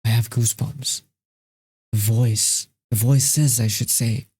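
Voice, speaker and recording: A man's voice: mid-range voice, steady tone, unhurried, darkish tone, husky. A man hosting a reaction video, talking casually and emphatically, repeating himself and swearing freely.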